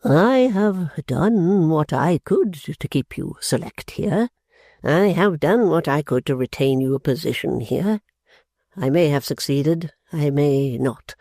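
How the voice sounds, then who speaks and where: A proud, wounded older father who speaks slowly and haltingly with pompous, mournful dignity, put on by a woman reader. The voice sits low and dark, read close and dry. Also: animated, no echo, exaggerated voice. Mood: aggrieved.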